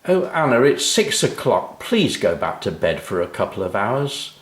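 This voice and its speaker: A man voicing a patient, gently reassuring mother in a children's story. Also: lowish voice, big pitch swings, normal speed, reverberant. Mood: weary, patient. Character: gently reassuring mum of a young schoolgirl.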